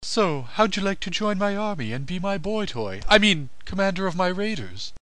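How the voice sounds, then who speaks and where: A man's voice: sits high, sing-song, normal speed, fairly bright tone, no room sound, pitched above the performer's own voice. A man voicing a smitten, effete male army commander, suave and suggestive, in a comedic anime parody dub. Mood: flirtatious.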